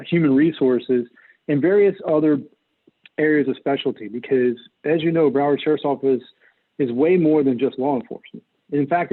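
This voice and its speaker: A middle-aged American man giving earnest, measured answers as a political candidate in an interview. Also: mid-range voice, narrow, tinny audio. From North Carolina, United States. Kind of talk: interview answers, campaign talk.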